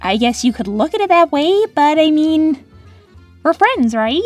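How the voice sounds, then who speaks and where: A woman's voice: high-pitched, sing-song, measured pace, faint music behind. A woman voicing a young female cartoon hedgehog, peppy and touchy, with a sarcastic, girlish manner. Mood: flustered. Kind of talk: cartoon character answering fan questions.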